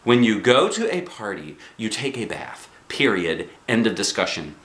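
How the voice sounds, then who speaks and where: A man's voice: fairly low pitch, very animated, moderate pace, a bit bright, roomy sound. A man voicing an exasperated, strict mother who hollers and scolds with stern emphasis. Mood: firm.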